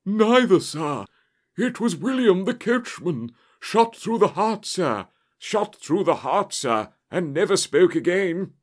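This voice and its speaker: A man's voice: high voice, very animated, takes it slowly, pitched above the performer's own voice, exaggerated voice. A man voicing a flustered, breathless, deferential butler with dreadful news in an audiobook story. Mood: agitated, shocked. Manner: gasping, agitated, deferential.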